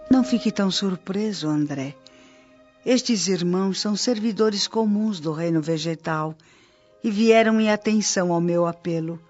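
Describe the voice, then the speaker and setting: A woman playing a kindly, wise old spirit nurse in a radio drama, with a Brazilian accent and a gentle, instructive delivery. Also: mid-range voice, normal speed, close to an everyday voice. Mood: wise, serene. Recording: dull lo-fi audio with music bed. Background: background music.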